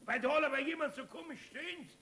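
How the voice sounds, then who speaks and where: An actor playing a nervous, nosy friend with a Bavarian accent in a children's radio play, creaky and dramatically agitated. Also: male voice, very high-pitched, fast, pushed and bright. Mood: excited alarm.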